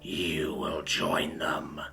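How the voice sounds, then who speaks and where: A man voicing a hulking, deluded villain who believes he is a dragon, speaking low and slow with menace. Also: very animated, bright, forceful tone, faint music behind, heavily acted. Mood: menacing. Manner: slow, low and menacing, breaking into panicked pleading.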